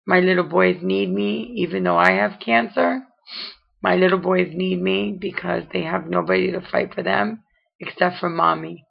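A middle-aged woman with a raspy voice, tired and in pain, talking slowly to a webcam with many pauses in a personal video diary. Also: lowish voice, fairly even intonation. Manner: slow, weary talk with many pauses and fillers. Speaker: vlogger. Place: dim room at home at night. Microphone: webcam close up. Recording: dull lo-fi webcam audio.

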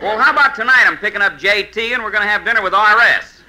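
Breezy high-pitched Hollywood executive. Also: male voice, fast, pushed and bright, slight background noise, low-quality recording. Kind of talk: 1950s radio comedy acting.

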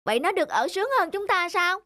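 A woman voicing envious, grumbling young sled dogs in an animated cartoon, complaining and exclaiming. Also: very high-pitched, fast talker, full character voice. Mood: indignant, envious. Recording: music under the dialogue.

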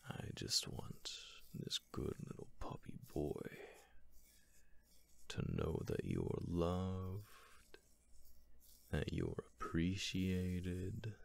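ASMR roleplay: man playing affectionate, teasing male roommate, gently dominant, breathy and husky, with creaky fry. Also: sits low, animated, exaggerated voice. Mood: tender, teasing. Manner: slow, soothing, breathy, doting. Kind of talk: ASMR roleplay, whispered and softly spoken.

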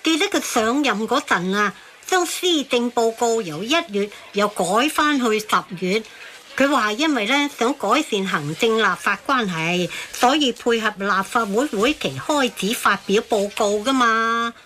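An actress playing a reasonable, conciliatory auntie in a radio drama, reasoning and questioning in a Hong Kong Cantonese accent. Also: female voice, on the high side, lively intonation, measured pace, a bit bright, slight background noise. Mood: concerned. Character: reasonable, conciliatory woman called Third Aunt.

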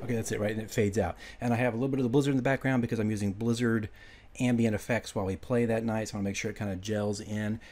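A man working as a game master, giving an informal, enthusiastic, hurried screen-share walkthrough. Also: on the low side, steady tone, a little mellow, a little hiss or hum. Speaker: game master making a prep video. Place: indoors at a computer, voice-over.

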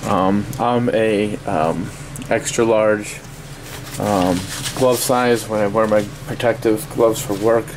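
A man with a fairly low voice talks very slowly through a casual product review. His delivery is halting and offhand, and there is clear noise from the room around him. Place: small indoor workspace at a desk. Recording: steady noisy room ambience.

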